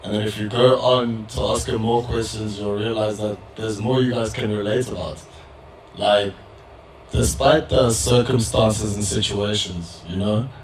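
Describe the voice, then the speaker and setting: Young man, low voice, flat delivery, measured and reflective, faint background ambience. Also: a little hiss or hum, rough recording. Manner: measured, pausing often with fillers. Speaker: audience member in a community dialogue. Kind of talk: reflective public discussion. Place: event hall with audience.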